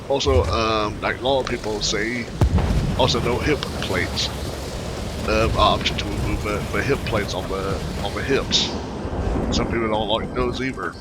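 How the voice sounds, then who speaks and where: A man giving casual, rambling commentary as he plays a video game, often repeating himself. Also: mid-range voice.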